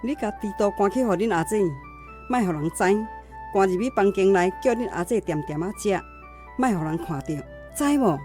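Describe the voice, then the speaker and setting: A woman's voice: mid-range voice, lively intonation, brisk, over music, natural voice. A woman playing a practical middle-aged mother and grandmother in a radio drama, chiding and bossy, with a Taiwanese accent. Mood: bossy, secretive.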